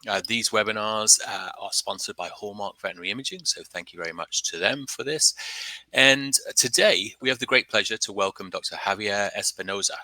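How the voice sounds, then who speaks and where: A man hosting a webinar over a video call, introducing the guest in a friendly, slightly halting way with fillers. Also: lowish voice, pushed and bright, dry recording, low-quality recording. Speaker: webinar host.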